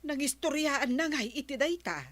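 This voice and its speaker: A woman with an Ilocano accent playing a gossipy, candid village councilor in a radio comedy drama, exclamatory and animated. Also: high-pitched, moderate pace, no room sound.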